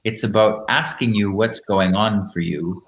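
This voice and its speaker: A man hosting a live online show in a camp, theatrical manner, moving between casual chat and dramatic reading with deliberate phrasing. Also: fairly low pitch, fairly bright tone. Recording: roomy, echoing live-stream sound.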